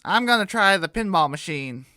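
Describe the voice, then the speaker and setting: Guy doing a grumbly nacho superhero for a kids audio story, kinda high voice, dry sound. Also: expressive, normal speed, bright, forceful tone, dry recording. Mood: casual.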